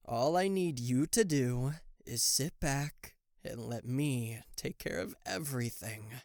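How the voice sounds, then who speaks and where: A man playing a cocky, playful and easily flustered king of hell as an affectionate boyfriend in an ASMR roleplay, with theatrical teasing pauses and stammers. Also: medium pitch, animated, vocal fry, a little mellow, dry recording, studio quality. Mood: gentle, attentive. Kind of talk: ASMR roleplay addressed to the listener.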